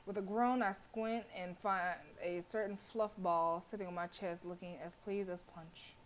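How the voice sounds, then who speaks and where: Clear noise runs under a woman's stream microphone. She has a slightly low voice and narrates a visual novel aloud with expression, as a streamer does live. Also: fairly even intonation, moderate pace, low-quality recording.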